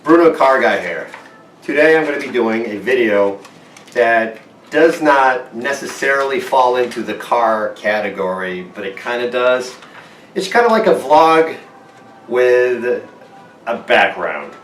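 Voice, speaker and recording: A man's voice: medium pitch, expressive, a little slow, a bit bright, roomy sound, recorded from a distance, a little hiss or hum. A man from Portugal, a car vlogger, talking bluntly and swearing freely in a casual monologue at home in front of a green screen. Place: inside his house, in front of a green screen.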